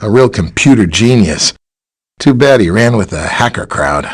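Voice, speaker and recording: Eager, husky scientist hero, lively pitch. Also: male voice, normal speed. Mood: amused, reminiscing. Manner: chatty, polite, expository. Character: friendly, helpful male scientist superhero, earnest and eager. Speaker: voice actor in a video game. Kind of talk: scripted video game character dialogue.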